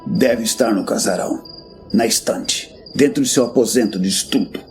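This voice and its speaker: An actor playing a grim, world-weary veteran warrior master in a fantasy audio drama, speaking bluntly and solemnly. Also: male voice, on the low side, moderate pace, natural voice. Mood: grave, confiding. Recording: background music.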